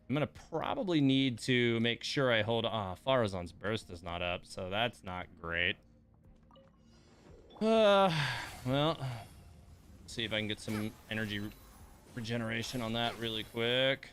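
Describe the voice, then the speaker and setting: A man's voice: on the low side, expressive, unhurried, pauses often, fairly bright tone. A man livestreaming a game, thinking aloud in casual commentary and muttering complaints and coaching to himself.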